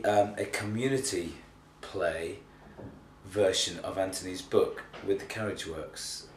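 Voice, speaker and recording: A tired man with a cold and a slightly croaky voice, chatting hesitantly and self-deprecatingly in an unscripted video blog. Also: low voice, a little mellow. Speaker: theatre artistic director giving a monthly video update. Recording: echoey, distant mic, faint noise.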